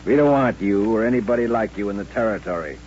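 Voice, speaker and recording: An actor playing a drunken, aggrieved cowboy spoiling for a gunfight, blustering and threatening in old-time radio western style. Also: male voice, lowish voice, quick pace, a little mellow, close to an everyday voice. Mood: bitter, threatening. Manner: blustering, threatening frontier talk. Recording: dull, noisy, humming old radio recording.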